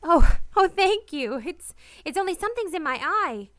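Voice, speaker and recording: A woman's voice: extremely high pitch, lively intonation, fairly bright tone, no echo. An actress playing an earnest, guilt-ridden married woman in a radio play, speaking in a refined, hesitant way. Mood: bright, polite. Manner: hesitant, earnest, refined, with halting repeated words. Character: married woman, anxious, guilt-ridden, tenderly confessing a secret love. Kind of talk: radio play acting with confessional narration.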